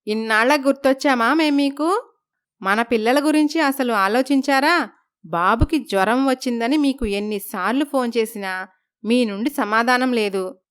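A woman's voice: on the high side, moderate pace, fairly bright tone, clear, resonant tone, lightly acted. A woman voicing a worried young wife who reproaches her husband in a storybook tale. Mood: reproachful. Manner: reproachful, pleading. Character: wronged young first wife, anxious.

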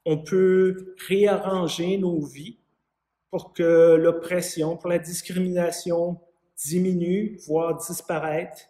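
A man in his fifties with a Quebec French accent, giving a sermon as a pastor in a measured, conversational way with some hesitant phrasing. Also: sits high, little pitch movement, mellow. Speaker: parish pastor. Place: small vaulted room with an arched window, indoors. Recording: echoey room.

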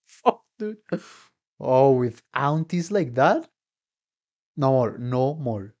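A man's voice: medium pitch, expressive, slow pace, darkish tone, highs cut off. A young man giving casual, sweary reaction commentary at home as a musician, full of exclamations. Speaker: musician reacting to game trailers. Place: small room at home. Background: game music.

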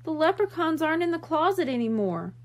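A woman's voice: high voice, big pitch swings, a little fast, voice pushed up. A woman voicing an earnest, worried little boy with pleading emphasis in a children's storybook. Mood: upset. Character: earnest, worried little boys confessing mischief.

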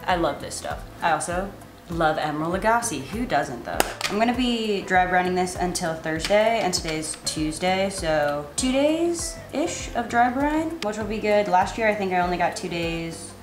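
A woman's voice: on the low side, lively intonation, normal speed, music in the background. A young adult woman chatting casually as a cooking vlogger, with a wry, self-deprecating manner. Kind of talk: casual chat while cooking. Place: home kitchen, indoors.

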